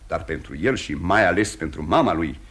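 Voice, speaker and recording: An actor playing a tactful, reserved prince in a radio play, speaking in a measured, formal and polite way. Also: male voice, low voice, expressive, a bit bright. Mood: measured, reasoning. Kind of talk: mid-century Romanian radio theatre acting.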